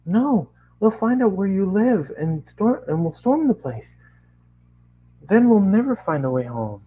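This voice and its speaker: A man's voice: sits high, sing-song, unhurried, warm tone, muffled recording, slight background noise, pitched above the performer's own voice. A man voicing an anxious young female magical pony in a story reading. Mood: despairing.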